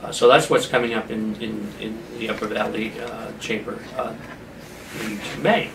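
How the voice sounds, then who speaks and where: A man's voice: on the low side, fairly even intonation. Guy hosting a local chamber interview show, stop-start delivery, mic kinda far away, roomy with faint noise. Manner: halting, pauses mid-sentence. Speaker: talk show host, chamber of commerce representative. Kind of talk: informal community interview programme. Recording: roomy echo, distant mic, background noise.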